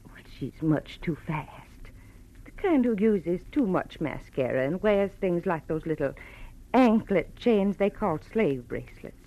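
Anxious, gentle mother, muffled old radio. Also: lowish voice, animated. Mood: wistful, earnest. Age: middle-aged. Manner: hesitant, halting, genteel. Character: sweet, brave gray-haired mother, anxious, quietly hopeful. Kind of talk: old-time radio drama acting. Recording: muffled vintage radio recording.

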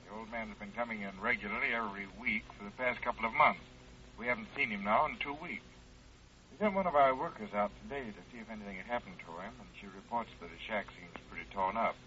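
A man's voice: on the low side, quick pace, sharp tone. A man playing a worried, insistent county welfare official in a 1930s radio drama. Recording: muffled vintage radio recording.

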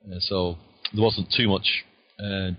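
A man in his sixties with a Lancashire accent answers interview questions, his very deep voice modest and unhurried. He hesitates often with small fillers, and the recording sounds dull and lo-fi. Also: level tone, breathy edge. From Lancashire, England. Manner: modest, chatty, hesitant with "uh" and "I mean". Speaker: guest author.

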